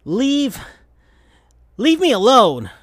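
A reader voicing an angry, sulky male teen hedgehog who snaps and shouts, pitched well above their own voice. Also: sing-song, slow pace, no room sound.

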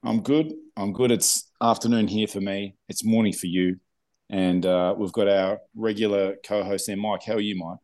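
An Australian man hosts a podcast in a very deep, low voice. He talks in a friendly, meandering way and fills pauses with ums and uhs. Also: fairly even intonation, a little mellow. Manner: friendly and rambling, with fillers. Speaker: podcast host. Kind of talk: casual podcast hosting.

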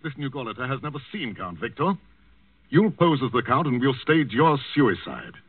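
An actor playing a commanding doctor who coaches resistance plotters, urgent and instructing, in a 1940s radio drama. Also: male voice, mid-range voice, very animated, normal speed, breathy edge, dry recording. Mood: urgent, directing. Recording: lo-fi, distant old radio recording.

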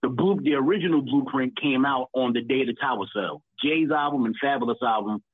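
A male caller argues bluntly, dropping curses as he makes his case. His voice is husky, with a dry sound. It comes through a tinny phone line. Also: medium pitch, fairly quick, no room sound. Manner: blunt, argumentative, profane. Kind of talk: casual debate chat.

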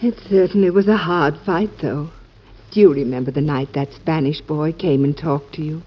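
An actress playing a gentle, adoring wife of a president in an old radio drama, her voice soft and breathy. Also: deep voice, normal speed. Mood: tender, admiring. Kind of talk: 1940s radio drama acting. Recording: muffled old radio recording.

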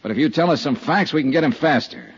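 An American actor playing a hard-nosed, skeptical insurance investigator, questioning bluntly in the style of 1950s radio detective drama. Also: male voice, a little high, animated, fast, breathy edge, exaggerated voice. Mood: pressing, impatient. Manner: blunt, pressing interrogator. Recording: dull old 1950s radio recording.

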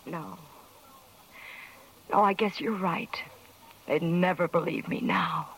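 A woman's voice: on the low side, slow pace, low-quality recording. A woman playing a desperate carnival dancer under questioning in a 1940s radio drama, pleading and emotional. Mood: defeated. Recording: vintage radio broadcast, faint noise.

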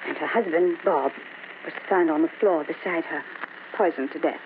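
A woman's voice: low-pitched, moderate pace, natural voice. A woman playing a polite, knowing neighbor in an old-time radio drama, composed and reserved, on a tinny recording with some noise. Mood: grave, ominous.

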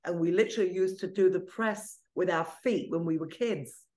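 A woman's voice: fairly low pitch, normal speed, a little mellow. A middle-aged woman from England with a British accent, Italian as her first language, chatting as a podcast guest and telling stories with light joking asides. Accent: British English. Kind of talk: casual interview chat.

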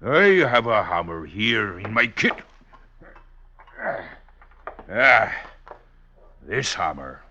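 An actor playing a rough rustic wanderer and cool killer in an old radio drama, with deliberate, archaic country speech. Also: male voice, lowish voice, big pitch swings, bright, forceful tone, a little hiss or hum, exaggerated voice. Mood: bluff, bargaining. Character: rough rustic male English wanderer, a coolly menacing killer. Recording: dull old radio recording.

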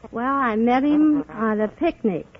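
A woman's voice: a little high, unhurried. A woman from St. Louis, Missouri, answering chattily as a quiz show contestant on an old radio broadcast. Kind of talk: chatty on-air interview answers. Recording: dull, very noisy old radio, loud audience. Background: studio audience.

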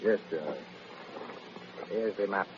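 A man's voice: deep voice, slow. An actor playing a deferential army captain advising his general in a 1930s radio drama. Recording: muffled, noisy vintage radio recording.

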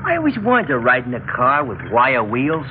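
A man's voice: medium pitch, huge pitch swings, moderate pace. A man playing an eager, rowdy young gang sidekick in a radio drama, egging things on in slang. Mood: gleeful, menacing. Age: young adult. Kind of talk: 1960s radio drama acting. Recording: muffled, noisy old radio recording.

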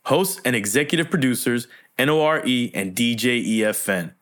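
A man who hosts the show reading the outro credits in a steady, even manner. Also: medium pitch, moderate pace. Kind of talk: scripted outro read.

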